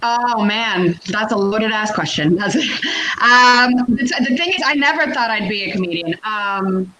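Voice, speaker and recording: A woman from Puerto Rico and Connecticut, a comedian guest chatting casually and talkatively in an interview, with an animated manner. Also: medium pitch, measured pace, rough recording. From born in Puerto Rico, raised in Connecticut, United States.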